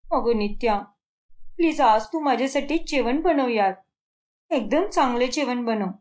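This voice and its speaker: A woman's voice: a little high, animated, unhurried, darkish tone. A woman playing a whiny, work-shy young daughter in a cartoon moral story, complaining and coaxing. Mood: pleading.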